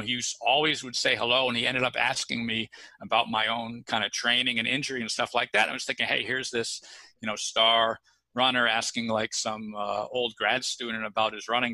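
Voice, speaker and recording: A man's voice: medium pitch, gravelly, bright, forceful tone, no room sound, low-quality recording. A middle-aged man answering interview questions casually, with many fillers and restarts. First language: English. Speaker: podcast guest.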